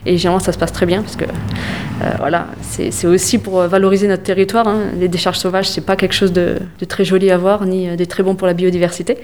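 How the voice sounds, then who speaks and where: Young woman, halting and full of fillers. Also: lowish voice, level tone, darkish tone, a little hiss or hum. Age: young adult. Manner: hesitant, many fillers, spells words out slowly. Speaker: environmental association volunteer. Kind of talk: radio interview answers.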